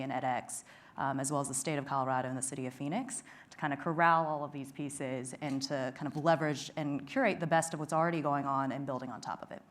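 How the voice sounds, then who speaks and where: Low-voiced woman speaking on a panel. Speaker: foundation representative panelist. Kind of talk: conference panel talk. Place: conference stage.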